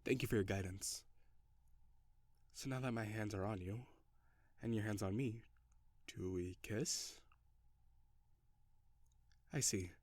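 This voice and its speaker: A reserved, curious male alien, played by a man in an intimate audio roleplay. His voice sits fairly low and his wording is formal and precise, as if studying humans. The sound is dry, with little room around it. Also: slow pace, long pauses, a little mellow, no echo. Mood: polite, curious. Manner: stiff, formal, precise phrasing. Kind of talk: intimate scripted audio roleplay addressed to the listener.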